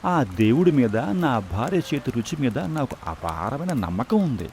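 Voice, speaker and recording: A man's voice: mid-range voice, big pitch swings, measured pace, darkish tone, a little hiss or hum. A man playing a poor but confident, philosophical food stall owner in a dramatized moral story, earnest and reassuring. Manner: reassuring, earnest, proverb-laden.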